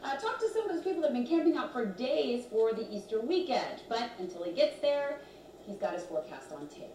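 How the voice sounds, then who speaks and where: A woman's voice: on the high side, sing-song, brisk, darkish tone, slight background noise. A woman with an American accent reading scripted morning television news as an anchor. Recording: distant, echoey studio feed in control room.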